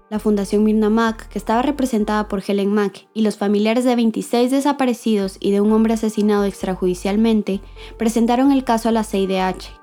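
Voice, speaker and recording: A woman giving measured, scripted documentary narration as the host. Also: on the high side, warm tone.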